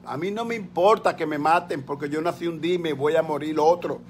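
A man's voice: a little high, brisk, slight background noise. A man in his sixties with a Dominican Spanish accent, delivering a fiery political rant, hammering his points by repeating words, filmed on a phone at arm's length in a small room at home. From Dominican Republic. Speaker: political commentator. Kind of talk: impassioned political rant on a live call.

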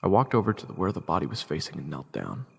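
A man playing a grim, watchful adventurer who narrates a fantasy audio drama gravely and deliberately. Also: sits low, wildly swooping pitch, heavily acted. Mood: grim, wary. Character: grim, watchful male fantasy adventurer telling his tale. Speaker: narrator and character. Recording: music playing behind. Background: background music.

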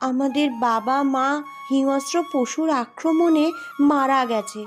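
A woman as young cartoon elder sister, high voice, protective, persuasive, quick-witted, music behind. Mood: covering up. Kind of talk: animated cartoon story dialogue. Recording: music under the voice.

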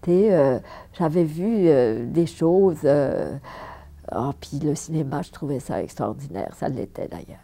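A woman's voice: low-pitched, a little slow, gravelly. An older woman from France with a Parisian accent, an actress recalling her career in a seated interview, talking emphatically and repeating words for stress. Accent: Parisian French. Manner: animated, emphatic repetitions, frequent laughs. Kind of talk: reminiscing interview. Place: living room with fireplace, indoors.

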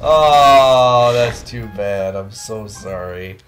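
A man's voice: fairly low pitch, drawn-out words. A man in his thirties trading crude jokes on a couch while playing a video game with friends. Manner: crude, joking, laughing. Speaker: let's play co-host. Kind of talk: casual gameplay banter. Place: living room, on a couch. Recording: game music playing underneath.

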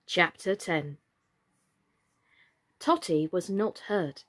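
A woman in her thirties reading a children's story expressively, in a measured and deliberate way. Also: lowish voice. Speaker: storyteller, actress. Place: small furnished room indoors.

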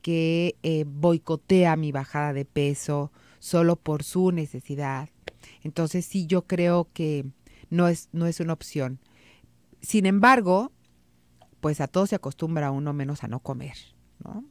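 A middle-aged Mexican woman hosting an advice radio show from a studio, calm and reassuring, with a head cold. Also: sits low, a little slow, dry recording. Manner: calm, reassuring, reads listener messages aloud. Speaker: radio psychologist host. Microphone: studio mic on boom arm.

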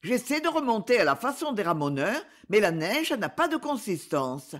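A woman voicing a calm, talkative boy in a storybook reading, speaking in a matter-of-fact way. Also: medium pitch, normal speed. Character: calm boy who remarks on everything.

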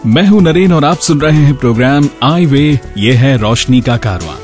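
A man's voice: mid-range voice, animated, normal speed, a little mellow. A man hosting a radio show, reading scripted narration. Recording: music behind the voice. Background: background music.

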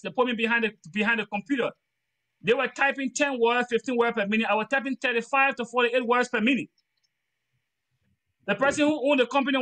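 Earnest Liberian man, high bright voice. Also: poor audio. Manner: earnest, preachy, repeats phrases. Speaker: trainer. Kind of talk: motivational talk in a training lesson.

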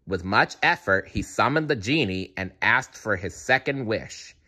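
Man, storybook read-aloud, lowish pitch, very lively intonation, playful delivery. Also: measured pace. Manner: playful asides to the listener. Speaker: storybook reader.

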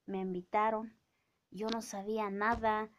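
Mazatec-accented woman in her thirties, unhurried, scolding vlog rant into a phone. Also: mid-range voice, flat delivery, a little hiss or hum. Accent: Mazatec-accented Mexican Spanish. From Oaxaca, Mexico. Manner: indignant, scolding, drawn-out speech with many fillers. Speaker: vlogger. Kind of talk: casual opinion rant to camera. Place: small indoor room with bookshelves. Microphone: phone camera at arm's length.

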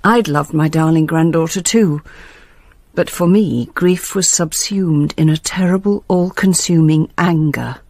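A bitter, grieving grandmother confesses in a radio monologue. Her voice is creaky and husky, recorded close and dry, with deliberate phrasing. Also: low-pitched, a little mellow, no room sound. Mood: anguished confession. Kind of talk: dramatic monologue in British radio drama.

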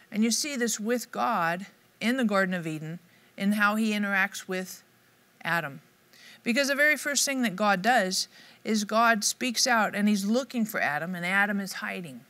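A woman's voice: medium pitch, sharp tone. A middle-aged woman giving an upbeat, conversational devotional teaching to camera in a studio. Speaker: Bible teacher. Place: dark studio set, indoors.

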